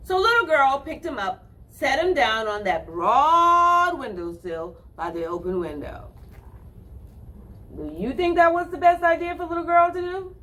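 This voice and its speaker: A woman hosting a children's storytime, reading and talking to young listeners in an expressive, singsong way. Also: high voice. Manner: expressive, drawn-out, singsong delivery addressed to children. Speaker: children's storytime reader and host. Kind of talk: storytime read-aloud and singing for young children.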